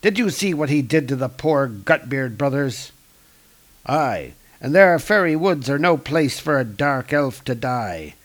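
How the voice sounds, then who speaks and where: A man voicing a coarse, cowardly and blustering dark elf soldier from a comic book. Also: medium pitch, expressive, measured pace, lightly acted. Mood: gruff alarm. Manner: blustering, exclamatory, insult-laden.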